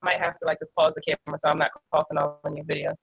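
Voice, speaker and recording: A young adult woman author with a cold, raspy, chatting casually on a video call with lots of fillers. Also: low voice, little pitch movement, rapid-fire. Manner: casual, many fillers like "like" and "you know". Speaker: author, guest.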